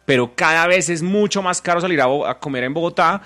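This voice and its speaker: Bright-voiced Colombian man, emphatic. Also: a little high, fairly quick. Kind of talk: casual opinionated chat.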